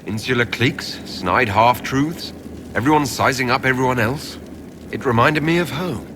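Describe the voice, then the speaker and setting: An actor playing a blunt, haughty aristocratic hero in a fantasy video game, cool, clipped and formal. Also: male voice, medium pitch, normal speed, background noise, natural voice. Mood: cool disdain. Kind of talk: video game character dialogue.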